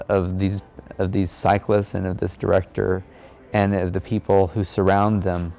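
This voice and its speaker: Male, low and mellow, earnest, halting, informal reflective talk. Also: vocal fry. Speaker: amateur cyclist and blogger.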